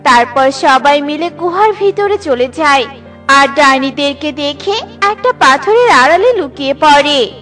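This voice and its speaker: A woman's voice: high voice, animated, measured pace, music in the background. A woman storyteller giving scripted narration for a children's cartoon.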